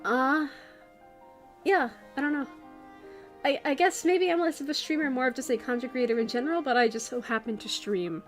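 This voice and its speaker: A woman streamer chatting casually at her computer, rambling and restarting her sentences, her voice going hoarse. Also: high-pitched, moderate pace, soft background music. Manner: rambling, self-interrupting, many restarts. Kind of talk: casual livestream chat.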